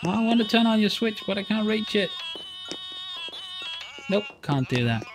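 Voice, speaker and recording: A man's voice: sits high, sing-song, normal speed, background noise. A man in his forties chatting casually while he streams a game, playful and talkative, speaking into a headset in a small room. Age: 40s. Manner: chatty, playful, talks to chat while playing. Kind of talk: casual live stream chat.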